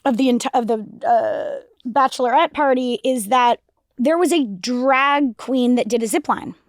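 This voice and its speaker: A young woman with a raspy voice co-hosting a casual podcast, rambling with restarts and lots of "like". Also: fairly high pitch, moderate pace, no echo, clean studio sound. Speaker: podcast co-host. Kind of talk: casual chat.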